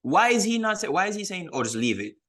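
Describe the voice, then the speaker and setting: A man's voice: high-pitched, very animated, fast talker, bright, forceful tone. A man co-hosting a sports podcast, giving emphatic opinions in a casual discussion and repeating points to stress them. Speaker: podcast co-host.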